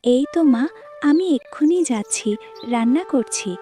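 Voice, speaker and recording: A woman's voice: high-pitched, normal speed, warm tone, soft background music, lightly acted. A woman playing a meek young village bride in a cartoon, speaking in a pleading way. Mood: obedient. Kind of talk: Bengali cartoon fairy-tale voice acting.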